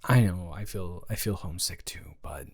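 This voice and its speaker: Male actor as young dormmate, good-natured, nervous, fairly deep voice, hesitant rambling, stammering, romantic roleplay audio. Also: moderate pace, creaky, breathy edge, exaggerated voice. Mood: sympathetic, teasing. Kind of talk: intimate romantic audio roleplay, one-sided conversation.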